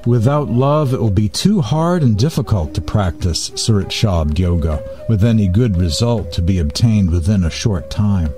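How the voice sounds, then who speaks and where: A man hosting a spiritual radio talk, reading and explaining teachings in deliberate, short phrases. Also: fairly low pitch, animated, mellow, faint music behind. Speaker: radio host.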